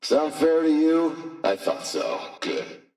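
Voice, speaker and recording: Tough warlord boss from a game, slow commanding threats, booming echoey PA from far off. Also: male voice, wildly swooping pitch, darkish tone, faint music behind, heavily acted. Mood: confident, coaxing menace. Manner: commanding, deliberate, threatening, speechifying. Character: hard, menacing warlord ruling a lawless city district. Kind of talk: scripted game voice acting, public announcements. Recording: echoing public-address announcement, distant, reverberant.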